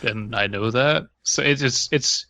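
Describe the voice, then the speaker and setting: A man's voice: mid-range voice, unhurried, pushed and bright, no echo. A man co-hosting a podcast in casual chat, blunt and skeptical. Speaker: podcast co-host.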